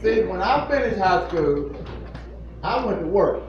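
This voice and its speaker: A man's voice: high-pitched, lively intonation, unhurried. Earnest fifty-something man in an echoey room. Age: 50s. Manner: earnest, repetitive, preacherly emphasis. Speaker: plant manager speaking to children. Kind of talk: informal motivational talk to kids. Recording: echoey, distant, muffled room recording with faint noise.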